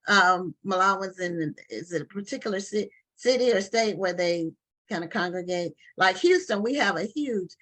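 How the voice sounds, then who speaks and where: A woman's voice: medium pitch, animated, normal speed, fairly bright tone. A woman hosting a live online book show, chatty and loose with restarts and fillers, talking over a video call. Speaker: talk show host.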